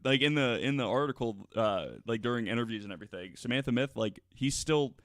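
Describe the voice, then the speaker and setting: A man telling a story in a casual, halting podcast style, often repeating words as he goes. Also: medium pitch, fairly bright tone, no echo, clean studio sound. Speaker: podcast guest panelist.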